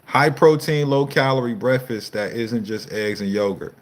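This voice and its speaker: A man's voice: medium pitch, moderate pace. A man in his thirties, a fitness coach, hosting a casual live Q&A, reading viewer comments and answering in a loose, slangy, profane way. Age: 30s.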